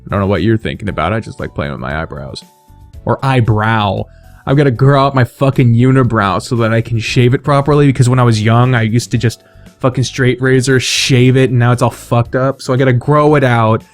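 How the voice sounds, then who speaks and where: A man's voice: medium pitch, a little fast, faint music behind. A man hosting a video in a casual, unscripted monologue, rambling, swearing freely and putting himself down. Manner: sweary, self-deprecating, rambling. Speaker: video host announcing fan awards.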